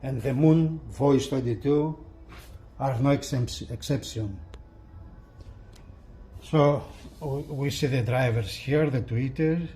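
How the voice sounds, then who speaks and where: Slow, mellow man presenting a product. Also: medium pitch, slight background noise. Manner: halting, hesitant delivery with repeated phrases. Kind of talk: casual unscripted product walkthrough.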